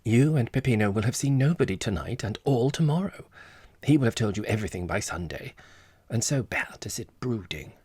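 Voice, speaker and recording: A man voicing an eager, deferential gentleman friend in an audiobook, fussy, polite and coaxing in a gossipy way. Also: medium pitch, animated, fairly quick, husky, no echo. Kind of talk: audiobook character dialogue.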